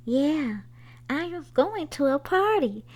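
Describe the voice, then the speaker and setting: A woman voicing an excitable young boy in a storybook, exclaiming eagerly. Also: high-pitched, slow, pitched above the performer's own voice, exaggerated voice. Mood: thrilled, shouting. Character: excitable young boy thrilled by good news.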